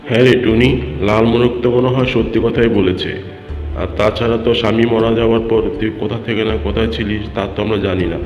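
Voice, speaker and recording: A dismissive cartoon bird, played by a man, chiding and unsympathetic. The low, even voice comes with long echoing reverb and light music underneath. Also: fast talker, lightly acted. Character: dismissive forest bird neighbour, advising surrender. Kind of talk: Bengali children's cartoon dialogue. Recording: echoey hall reverb, music bed.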